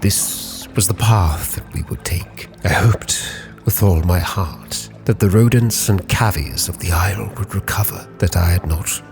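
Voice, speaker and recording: A man's voice: low voice, unhurried, creaky. A man giving solemn, brooding, theatrical first-person narration for a roleplay story. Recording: prominent music behind the voice.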